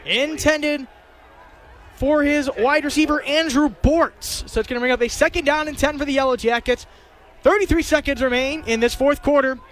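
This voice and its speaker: A young man with a very high-pitched voice calls a football game live on the radio. He describes each play in clipped, detailed phrases, in the style of a play-by-play announcer. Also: normal speed, fairly bright tone. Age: young adult. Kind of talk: live play-by-play sports commentary.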